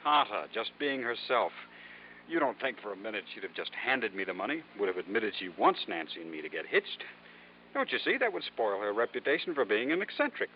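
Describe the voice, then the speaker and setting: An old radio play, dull and slightly noisy, with a mild, genteel nephew. His voice is animated and courteous, with a sly, amused edge to it. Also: lowish voice, brisk. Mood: amused, conspiratorial. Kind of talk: 1950s radio drama acting. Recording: muffled, noisy vintage radio recording.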